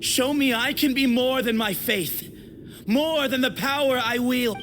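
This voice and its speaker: An actor playing a bold, earnest young desert warrior in a video game, speaking in a dramatic, measured way. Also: male voice, very high-pitched, fairly bright tone. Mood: defiant. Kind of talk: video game character acting. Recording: clear background noise.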